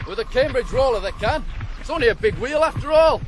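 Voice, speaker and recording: A man's voice: very high-pitched, animated, a little fast, noisy background. A man playing a blunt, grumbling farm ploughman with a hearty manner in a radio drama. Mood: rousing. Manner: hearty, swearing, calls out to horses.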